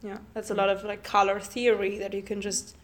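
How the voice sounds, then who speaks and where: A woman's voice: medium pitch, little pitch movement, fairly quick. A young woman, a primary school teacher, hosting a casual podcast and asking her co-hosts questions. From Germany. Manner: friendly moderator asking questions. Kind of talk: casual discussion.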